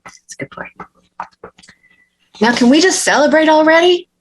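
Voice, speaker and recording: A woman's voice: high-pitched, expressive, moderate pace, slight background noise, poor audio. A woman playing a brash, doting, party-loving mother who coaxes her child, in a script table read. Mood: coaxing, reassuring.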